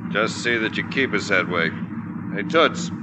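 A man's voice: on the low side, measured pace, natural voice. An actor playing a tough, bossy gangster henchman with curt delivery, in 1940s radio style. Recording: noisy 1940s radio recording.

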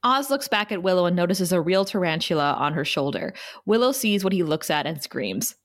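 A woman's voice: fairly low pitch, expressive, brisk. A woman narrating stage directions for a scripted table read.

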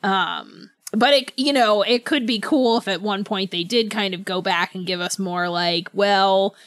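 A woman co-hosting a casual book discussion podcast, thinking aloud in a halting, conversational way. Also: medium pitch, fairly bright tone, no echo. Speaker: podcast co-host.

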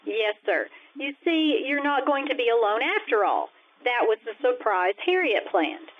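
A woman playing a wry, quick-witted schoolteacher in old-time radio comedy style, dry and wisecracking as she placates her boss. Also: a little high, sing-song. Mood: dry, protesting. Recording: tinny, noisy old-time radio sound.

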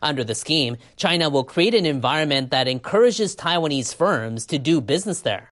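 Man, news reporter, fairly high voice, scripted narration. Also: lively intonation, moderate pace, a bit bright.

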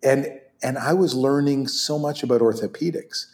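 An older man whose first language is Hebrew, recalling his past in an animated, rambling way, full of restarts and quick laughs. His voice sits at a middle pitch. The room around him rings with a clear echo. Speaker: interview guest, orthopedic surgeon. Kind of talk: conversational storytelling.

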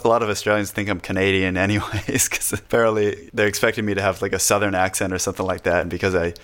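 A man from the United States talking casually in a relaxed conversation, chatty and self-deprecating, laughing often between points. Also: low-pitched, fast.

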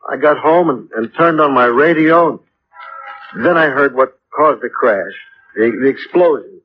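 An actor playing a distraught male ground-crew mechanic in old radio drama style, defensive, halting and stammering. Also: mid-range voice, close to an everyday voice. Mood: anxious, upset. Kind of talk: 1950s radio drama acting. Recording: dull, hissy old radio recording.

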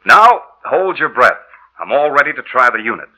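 Earnest inventor for 1940s radio horror: low-middle male voice, rough-edged, very animated and dramatic. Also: moderate pace, full character voice, low-quality recording. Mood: eager, excited. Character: trusting, eager male inventor, now a bitter dead narrator. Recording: old 1930s-40s radio broadcast recording.

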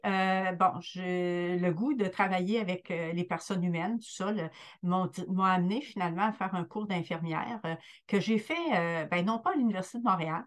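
An older woman with a Quebec French accent, a guest midwife telling her story in an interview with many hesitant fillers. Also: lowish voice. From Quebec, Lower St. Lawrence.

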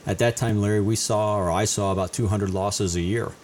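A middle-aged man from Indiana, a high school principal, answering interview questions in a rambling way and often repeating words. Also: steady tone, fairly quick, a little mellow, slight background noise. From Indiana, USA. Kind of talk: casual interview.

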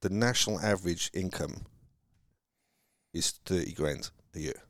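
A man from Wales with a Welsh accent, chatting casually about his sales career in a halting, rambling way, with many restarts. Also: low-pitched, a bit bright, no room sound, studio quality. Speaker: car salesman guest. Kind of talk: casual conversation.

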